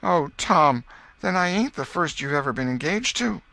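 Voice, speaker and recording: Man as a storybook little girl, lifted pitch, tender, easily hurt, girlish and earnest, dry close sound. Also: expressive, normal speed, gravelly, bright, forceful tone, no room sound, heavily acted. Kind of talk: audiobook character reading.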